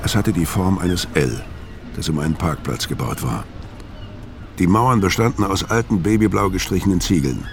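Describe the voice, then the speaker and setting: An actor playing a gruff, laconic, sardonic killer on the run, hard-boiled and world-weary, in a radio crime drama. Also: male voice, sits low, animated, normal speed, noisy background. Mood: brooding, watchful. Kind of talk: first-person crime narration and radio drama acting.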